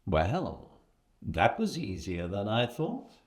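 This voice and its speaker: A woman's voice: rumbling low, very animated, slow, heavily acted. A woman playing a hesitant, bemused newcomer in a stage comedy, with an understated, unsure delivery. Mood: smug. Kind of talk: stage comedy play acting. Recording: roomy, echoey, some background noise.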